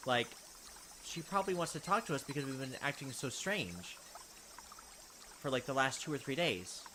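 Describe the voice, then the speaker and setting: Animated man reading a game aloud. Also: medium pitch, normal speed, background noise. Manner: animated, wry asides between narration. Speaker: let's play host. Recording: game audio with loud cricket ambience.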